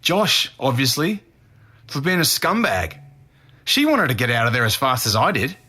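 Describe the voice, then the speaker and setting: Australian suspect, twenties, mid-pitched, blunt and defensive but earnest, naturalistic audio drama. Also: male voice, big pitch swings, moderate pace, sharp tone, husky, full character voice. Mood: indignant, scornful. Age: 20s. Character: defensive young Australian man, a murder suspect giving an interview.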